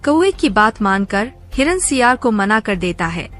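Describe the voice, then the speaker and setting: A woman's voice: medium pitch, big pitch swings, measured pace, soft background music. A woman narrator reading a scripted animal fable for children.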